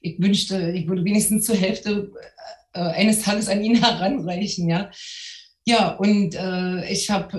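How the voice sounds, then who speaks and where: A woman's voice: lowish voice, a little mellow. A middle-aged woman from East Berlin, a journalist guest, telling her story thoughtfully with frequent hesitations. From East Berlin, Germany. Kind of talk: personal account on a panel. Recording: echoey room.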